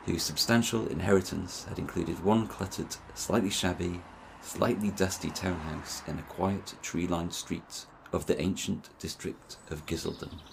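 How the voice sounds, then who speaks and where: A man narrates in a lyrical, scripted storytelling style. His voice is very deep with a gravelly creak, and his delivery is measured. Clear background noise sits under the voice. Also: a little mellow, low-quality recording.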